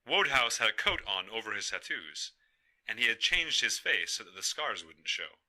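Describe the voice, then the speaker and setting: A man telling a folktale in a measured, emphatic storyteller's delivery. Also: fairly low pitch, sing-song, sharp tone, poor audio. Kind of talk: dramatic folktale narration.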